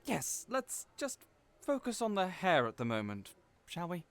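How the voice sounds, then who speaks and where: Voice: medium pitch, huge pitch swings, measured pace. An actor in an audio roleplay playing a cheerful, chatty hairdresser who is theatrical, effusive and playfully teasing. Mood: gushing.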